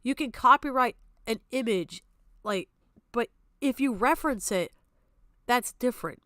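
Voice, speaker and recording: A woman's voice: on the high side, lively intonation, a little slow, pushed and bright, dry recording, clean studio sound. A woman giving off-the-cuff, argumentative stream commentary in a blunt, exasperated, sweary manner. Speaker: streamer reacting to a video.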